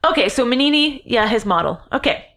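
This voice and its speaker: A woman's voice: fairly high pitch, animated, a little fast, fairly bright tone. Woman telling a story on a podcast, jokey and swears, rambles a lot. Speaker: podcast co-host. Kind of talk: casual storytelling chat.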